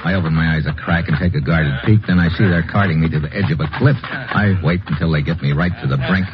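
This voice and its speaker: An actor playing a tough, wry undercover agent, clipped and wisecracking, in 1950s radio noir style. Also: male voice, sits low, fast, crowd noise, close to an everyday voice. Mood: tense narrating. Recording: muffled, noisy old radio recording.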